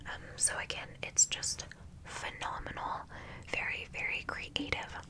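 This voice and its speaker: Whispering young woman, congested and nasal. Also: a little slow. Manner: chatty, gushing, many ums. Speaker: ASMR creator. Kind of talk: whispered ASMR chat.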